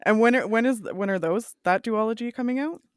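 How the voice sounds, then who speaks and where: A woman's voice: fairly high pitch, brisk, clear, resonant tone, no room sound, clean studio sound. A woman co-hosting a podcast, asking questions in casual interview chat.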